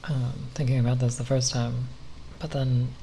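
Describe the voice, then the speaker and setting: A soft-spoken ASMR creator rambling unscripted, halting and often pausing mid-phrase. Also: mid-range voice, flat delivery, vocal fry, a little hiss or hum.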